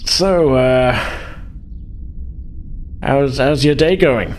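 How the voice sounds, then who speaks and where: Guy doing an awkward young starship officer dude, stammery, a bit raised in pitch, tabletop game. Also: drawn-out words, a little hiss or hum. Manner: hesitant, stammering.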